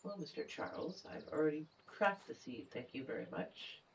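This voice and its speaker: A woman with a stuffy nose playing a video game, thinking out loud in casual commentary and sometimes dropping to a mutter or whisper. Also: sits low, very animated, moderate pace, recorded from a distance, a little hiss or hum. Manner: thinks aloud, muttering and half-whispering while working things out. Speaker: gameplay streamer. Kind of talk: casual let's play commentary.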